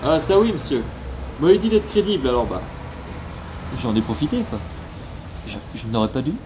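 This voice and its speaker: A man's voice: medium pitch, big pitch swings, a little fast, close to an everyday voice. Man as nervous informant amid street noise. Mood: nervous, sheepish. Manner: hesitant, colloquial, eager to explain. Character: nervous, deferential male informant posing as sweet vendor. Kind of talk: French audio drama acting. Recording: noisy outdoor street ambience.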